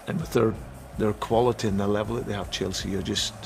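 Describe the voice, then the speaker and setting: A man speaks reflectively in a football documentary interview. His voice is low with a lot of gravelly creak, and music plays clearly behind him. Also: fairly even intonation, quick pace.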